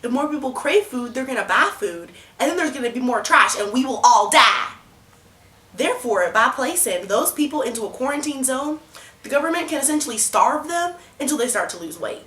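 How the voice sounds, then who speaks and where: A woman's voice: sits high, expressive, fairly quick, a bit bright. A young woman playing a brash, scornful, alarmist presidential candidate in a student debate video, blunt and folksy in her delivery. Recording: roomy echo, noticeable background noise.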